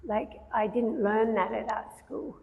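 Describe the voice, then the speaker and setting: Soft-spoken woman, slow and hesitant. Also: a little high, vocal fry, narrow, tinny audio. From Australia. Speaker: painter discussing her exhibition. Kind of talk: gallery talk, casual conversation. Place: art gallery, large room. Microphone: handheld microphone. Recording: long reverb in a gallery hall.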